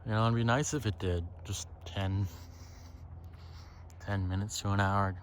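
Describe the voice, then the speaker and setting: A man's voice: fairly low pitch, steady tone, unhurried. A man giving a casual, rambling, reflective monologue, musing and swearing freely as he goes. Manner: musing, profane, often tags phrases with "right?". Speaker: solo podcaster. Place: outdoors on a lakeside trail. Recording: outdoor ambient noise, birds. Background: outdoor ambience with birds.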